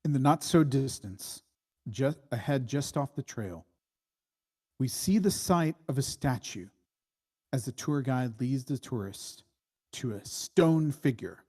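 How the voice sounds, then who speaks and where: A man with a mid-pitched voice narrates stage directions from a screenplay. He takes his time, setting out each phrase deliberately and with a sense of drama. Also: darkish tone.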